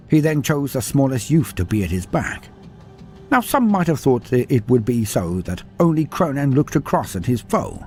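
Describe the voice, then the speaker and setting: A man reading a scripted story aloud with dramatic, expressive delivery. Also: mid-range voice. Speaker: narrator.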